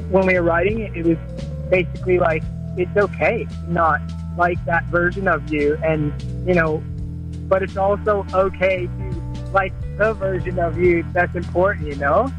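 A musician answers interview questions over a remote line, easygoing and a bit hesitant. His voice sits high for a man, and music plays clearly underneath. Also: rough recording. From Canada. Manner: easygoing, hesitant, many fillers and restarts. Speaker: musician guest. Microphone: remote call line.

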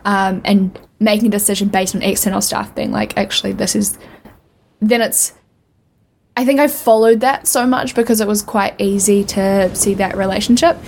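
A woman's voice: mid-range voice, steady tone, moderate pace, creaky, darkish tone, a little hiss or hum. A young woman co-hosting a podcast, talking candidly and thoughtfully, often searching for the right word. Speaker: podcast co-host. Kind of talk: casual chat.

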